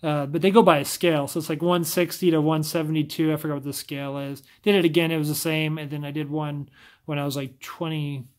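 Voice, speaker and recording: A man's voice: fairly high pitch, level tone, fast. A man with a scratchy voice talking casually to camera, often stumbling and restarting his sentences. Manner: stumbles over words, rambling restarts.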